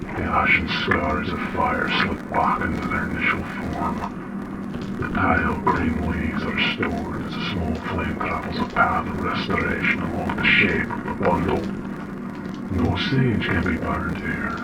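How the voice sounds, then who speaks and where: Man performing an ominous dream voice, very low, solemn, portentous, audio drama, buried in loud music. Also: sing-song, a little slow, exaggerated voice. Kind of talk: second-person dream narration, audio drama. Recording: distant voice under loud music.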